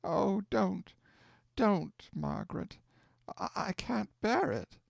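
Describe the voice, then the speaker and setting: A man's voice: on the high side, very animated, unhurried, a little mellow, no room sound, pitched above the performer's own voice. A man voicing a grieving older aunt who speaks tearfully and hesitantly.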